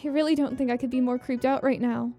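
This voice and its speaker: An actress playing a scared young sister in an audio drama, earnest and uneasy. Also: female voice, sits high, steady tone, fast talker, warm tone, soft background music. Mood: spooked. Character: scared young sister hearing her father's dark diary.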